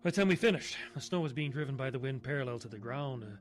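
An actor playing a weathered man solemnly recounting a grim survival tale in halting, folksy, clipped sentences for a radio horror drama. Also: mid-range voice, animated, close to an everyday voice. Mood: ominous. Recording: roomy, clear background noise and ambience.

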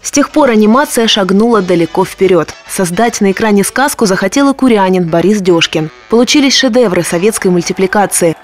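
A woman's voice: mid-range voice, animated, a little fast, hardly any pauses, faint music behind. A woman news reporter reading scripted narration for a television report.

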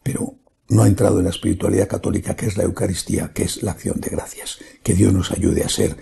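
A man's voice: low-pitched, level tone, quick pace, creaky, dark tone, slightly rough. A middle-aged man, a priest, preaching an earnest homily in a church, repeating phrases and building emphasis. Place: church sanctuary.

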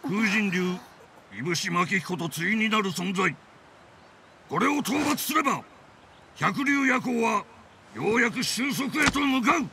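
A man's voice: high voice, animated, a little slow, vocal fry, long pauses, noisy background, exaggerated voice. A man voicing a fatherly, authoritative leader of a hunters' village in a dramatic, declamatory video game style. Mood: grave briefing. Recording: loud music behind the voice.

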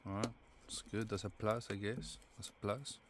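A man reviewing a product casually, thinking aloud in short, halting fragments. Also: on the low side, fairly even intonation, a bit bright, slight background noise.

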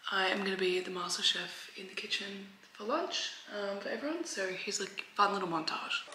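A woman's voice: mid-range voice, brisk. Chatty woman in an echoey kitchen. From Australia. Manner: chatty, filler-heavy with frequent "like". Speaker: vlogger. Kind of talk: casual vlog chat. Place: home kitchen, indoors. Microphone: camera mic, handheld or propped close. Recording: echoey kitchen with clear background noise.

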